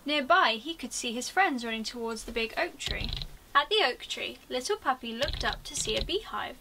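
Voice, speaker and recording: A woman's voice: on the high side, lively intonation, moderate pace, far from the mic, noisy background. A British woman in her twenties reading a storybook expressively to young children, as a teacher would. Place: small attic bedroom, indoors.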